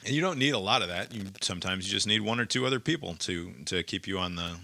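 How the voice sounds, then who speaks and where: A man chatting in a reflective, musing manner. His voice sits fairly low but has a bright, forceful edge, and it sounds dry and close, with very little room around it. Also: lively intonation, fast talker, vocal fry, dry recording. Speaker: participant in a group conversation. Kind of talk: casual chat.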